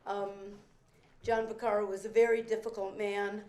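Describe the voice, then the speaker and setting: An older woman from a working-class Italian immigrant family, a veteran performer telling a rambling, animated story with restarts and acted-out quotes at a theater benefit. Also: mid-range voice, moderate pace. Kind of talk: anecdotal storytelling speech. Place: event room at a private club. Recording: roomy echoing event hall.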